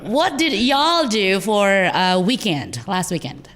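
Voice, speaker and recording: Female podcast host, hoarse, cheerful and questioning, reverberant room. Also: mid-range voice, sing-song, slow, noisy background. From Indonesia. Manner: upbeat, laughs often, asks questions. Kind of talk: casual podcast chat.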